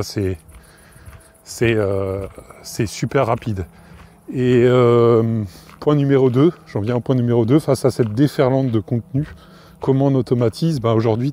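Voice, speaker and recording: French man with a cold, casual and halting. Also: medium pitch, mellow. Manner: informal, hesitant, many fillers and restarts. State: has a cold, coughing. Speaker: podcast host. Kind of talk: casual talk to listener.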